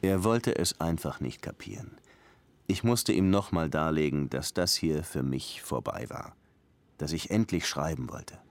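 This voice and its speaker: A man's voice: very deep voice, a little slow. An actor playing a weary police lieutenant in his thirties who longs to write, terse and reflective, in a radio crime drama. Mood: resolute, weary. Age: 30s. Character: weary 36-year-old Cuban police lieutenant longing to write. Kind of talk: German radio drama acting with first-person narration.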